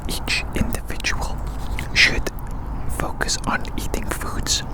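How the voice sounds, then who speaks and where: Whispering man, rambling ASMR. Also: takes it slowly. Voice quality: breathy unvoiced whisper. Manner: repeats words and phrases for emphasis. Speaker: ASMR video host. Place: outdoors.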